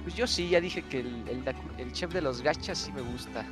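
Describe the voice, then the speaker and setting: A man's voice: mid-range voice, moderate pace, over music. A man with a Mexican accent hosting a casual podcast chat, reading out listener messages and joking with his co-hosts. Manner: lively, reads messages aloud and jokes along.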